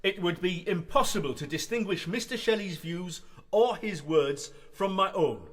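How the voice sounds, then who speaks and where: A man's voice: high-pitched, moderate pace, close to an everyday voice. An actor playing a loyal, nervous young male student in a radio play, polite, earnest and a little hesitant. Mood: earnest confession. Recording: echoey hall with long reverb.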